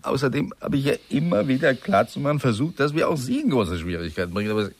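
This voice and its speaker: An actor playing a nervous, tipsy, guilt-ridden man in a German radio crime drama, halting and apologetic as he speaks. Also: mid-range voice, very animated. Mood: desperate, pleading. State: tipsy, agitated. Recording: clearly noisy radio drama recording.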